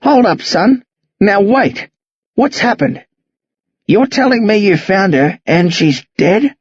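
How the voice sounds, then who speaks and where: Casting: man to act a confused old Australian fellow for an audiobook, rough-voiced, halting, full of pleading questions. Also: sits high, big pitch swings, a little mellow, no echo, highs cut off, pitched above the performer's own voice, heavily acted. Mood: confused, searching. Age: older.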